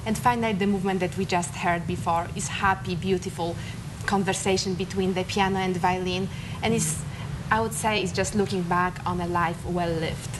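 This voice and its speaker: A woman's voice: fairly low pitch, moderate pace, noisy background. Woman guest musician. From Poland. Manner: explains calmly, slightly non-native phrasing. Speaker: pianist, guest. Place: TV studio.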